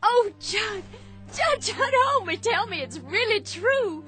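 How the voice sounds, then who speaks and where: A sick, grief-hardened widow from the American South in an old-time radio play. Her high voice swings widely as she presses her point, often stammering on her first words, with soft music underneath. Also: animated, slow pace, exaggerated voice. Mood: overjoyed. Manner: emotional and insistent, with stammered starts. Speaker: radio drama actress. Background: faint underscore music.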